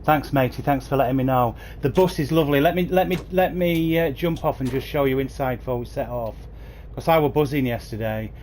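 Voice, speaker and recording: A man's voice: medium pitch, a little fast, hardly any pauses, a little mellow, slight background noise. A man chatting enthusiastically to viewers by name as he streams a bus game, speaking into a headset mic. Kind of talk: casual livestream commentary. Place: at home playing on a console. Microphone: new gaming headset.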